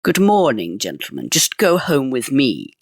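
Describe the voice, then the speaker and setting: A man voicing a tiny, bold and mischievous boy in a read-aloud storybook, speaking in a high, mocking voice. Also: wildly swooping pitch, measured pace, gravelly, slightly rough, no room sound, studio quality, full character voice. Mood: cheeky.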